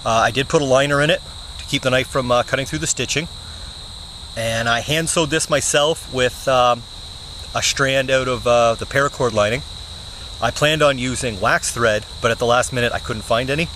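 A young man talks casually to a nearby camera while sitting on the forest floor. He speaks in an easygoing, plain way, often pausing on "uh". Crickets and insects buzz clearly behind him in the outdoor camera audio. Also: mid-range voice, a little hiss or hum, low-quality recording. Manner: relaxed, plain-spoken, many "uh" fillers. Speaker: bushcraft channel host. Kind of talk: casual show-and-tell vlog. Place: woods outdoors, seated on the ground. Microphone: camera mic at close range.

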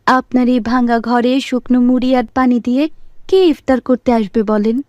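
A woman's voice: on the high side, steady tone, moderate pace, a little mellow, dull audio, close to an everyday voice. A woman playing a poor, humble and earnest village mother in a cartoon. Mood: doubtful. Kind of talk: cartoon voice acting.

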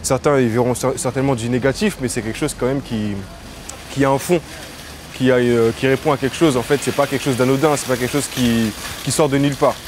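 A man's voice: medium pitch, steady tone, fast, noisy background. A man answering a street interview outdoors near a car park, hesitant with many fillers and restarts. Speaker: passer-by interviewed.

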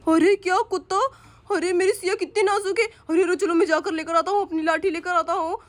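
A woman's voice: extremely high pitch, steady tone, fairly quick. A woman voicing a careless, boastful young husband in a cartoon story. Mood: agitated.

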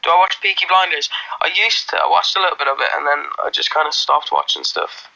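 Young irish-born guy on a phone livestream, accent mostly gone, bright and kinda high, lots of ums, just chatting in a little room. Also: fast, creaky, low-quality recording. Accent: faint Irish, mostly worn off. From Ireland. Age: young adult. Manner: rambling, relaxed, many fillers and restarts. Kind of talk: casual livestream chat reading comments. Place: small indoor room. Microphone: phone held close.

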